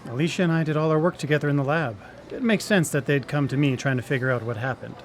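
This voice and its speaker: A man's voice: a little high, fast, close to an everyday voice. Man acting an earnest engineer, noisy background. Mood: uneasy, apologetic. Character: earnest, hesitant engineer explaining lab research. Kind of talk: live radio drama acting.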